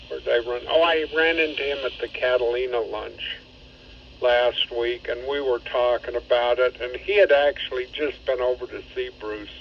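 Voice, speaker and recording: A man chatting casually over ham radio in a halting, rambling, matter-of-fact way. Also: mid-range voice. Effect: two-way radio transmission. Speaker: amateur radio operator. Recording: dull two-way radio signal, faint noise.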